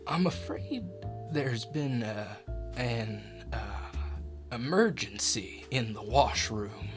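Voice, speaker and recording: Man playing a slow, deferential butler. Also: medium pitch, animated. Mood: shaken. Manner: formal, hesitant, servile. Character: deferential, nervous manor butler. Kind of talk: audio drama acting. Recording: music bed behind the voice.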